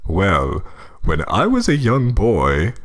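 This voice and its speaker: A man's voice: lowish voice, wildly swooping pitch, slow pace, exaggerated voice. A man playing a snooty, mildly befuddled older husband in a comic reading, hesitant and meandering in his delivery. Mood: musing. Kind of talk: comic in-character banter and story reading.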